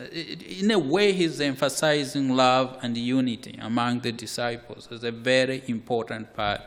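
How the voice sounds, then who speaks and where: A man from Malawi, preaching a sermon in a slow, measured way with repeated words. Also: mid-range voice. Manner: slow, measured, with repetitions and occasional soft laughs. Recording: hall reverb.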